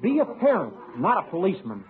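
Through a tinny, rough old radio sound, an enthusiastic young father. His voice is high for a man and bounces around with naive, bookish eagerness. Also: huge pitch swings, fast talker, slight background noise. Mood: earnest, enthusiastic. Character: eager, earnest young husband and new father. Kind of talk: 1940s-50s radio sitcom acting.

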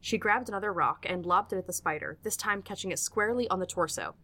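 A woman's voice: medium pitch, expressive, quick pace, pushed and bright. A woman reading scripted narration for an audiodrama as its storyteller.

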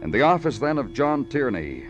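An actor playing a world-weary, poetic police detective in an old radio crime drama, speaking in clipped, lyrical phrases. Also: male voice, medium pitch, very animated, moderate pace, close to an everyday voice. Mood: brooding. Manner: clipped, brooding, lyrical narration. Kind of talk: 1940s-50s radio noir acting. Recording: old radio recording with music.

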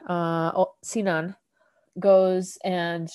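A woman's voice: lowish voice, flat delivery, drawn-out words, darkish tone, clean tone, muffled recording. A woman podcast host casually recapping a TV episode, rambling with lots of "like" fillers. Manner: rambling, heavy use of "like", laughs often.

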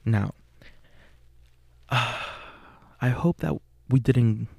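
A man's voice: medium pitch, extremely slow, vocal fry, a little mellow, husky, dry recording, clean studio sound. A young man from Puerto Rico, a native Spanish speaker with a Puerto Rican Spanish accent, hosting a solo podcast in an earnest way, with frequent fillers and self-corrections. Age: young adult. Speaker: podcast host.

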